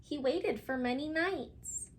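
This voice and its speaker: A woman reading a picture book aloud for children, expressively and with dramatic pauses, speaking directly to her young listeners. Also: high voice, a little hiss or hum. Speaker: children's story reader.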